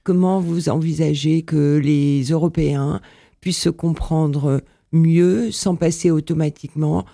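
Gravelly dark-voiced male interviewer. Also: fairly high pitch, fairly even intonation, no room sound, clean studio sound. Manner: measured, hesitant questioning. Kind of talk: interview questions.

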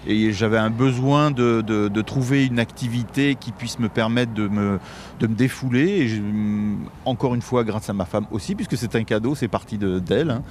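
A man in his fifties with a fairly low voice, answering interview questions in a relaxed, halting way. He pauses often and restarts his sentences. A faint hiss of background noise runs under the recording. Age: 50s. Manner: hesitant, many fillers and restarts. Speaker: interview guest, Masonic official. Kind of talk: casual interview talk.

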